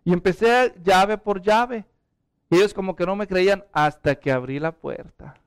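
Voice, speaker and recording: A middle-aged man with a Mexican Spanish accent delivers a passionate sermon. His voice sits high and sounds smooth and resonant. He sinks to hushed, pleading tones, then lifts into urgent appeals. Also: normal speed. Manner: impassioned, drops to hushed prayer then rises to urgent appeals. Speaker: pastor.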